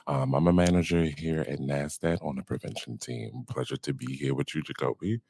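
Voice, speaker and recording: Super deep voiced dude cohosting, chill and jokey, dry close mic. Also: male voice, brisk, creaky, darkish tone, husky, no echo. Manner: easygoing, joking. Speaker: podcast co-host. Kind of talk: casual podcast chat.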